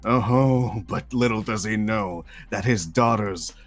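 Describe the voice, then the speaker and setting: A man voicing a sinister cartoon jackal villain, deliberate and sardonic, narrating a musical. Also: fairly low pitch. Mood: sly, mocking. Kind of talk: character narration of a stage musical.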